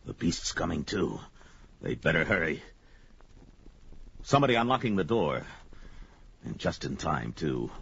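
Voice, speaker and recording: A man's voice: a bass voice, sing-song, unhurried, creaky, pauses often, a bit bright, a little hiss or hum, full character voice. An actor playing a bold, grim barbarian warrior who narrates his own actions melodramatically in an audio adventure drama. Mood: tense, calculating. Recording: dull lo-fi audio drama under music.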